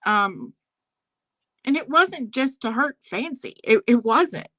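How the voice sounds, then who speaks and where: Woman, Southern American accent, stream host, bright tone, emphatic. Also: fairly high pitch, expressive, takes it slowly. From Southern United States. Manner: emphatic, repeats phrases for stress. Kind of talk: casual drama commentary chat. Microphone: phone on speakerphone.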